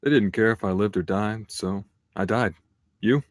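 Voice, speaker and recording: A man's voice: lowish voice, normal speed, dry recording, studio quality, lightly acted. A man playing an earnest, nervous, uncertain foot soldier at a screenplay table read. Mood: quiet, curious.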